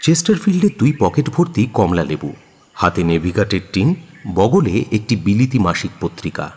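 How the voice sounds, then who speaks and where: Story narrator, male: very low, husky, animated delivery for dramatised fiction, in a reverberant space. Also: moderate pace. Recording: long hall-like reverb.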